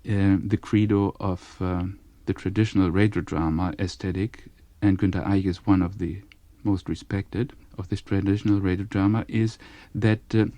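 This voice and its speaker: A man with a German accent explaining in an interview, in halting, deliberate English as a second language. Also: deep voice, fairly even intonation, mellow, no room sound. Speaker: radio drama studio director, interviewee.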